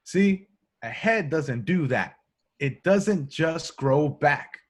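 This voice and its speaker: Man playing a husky young bewildered cop. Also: a little high, expressive, slow, exaggerated voice. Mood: dazed. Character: young streetwise male cop, bewildered and insistent. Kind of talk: amateur at-home table read of a film script.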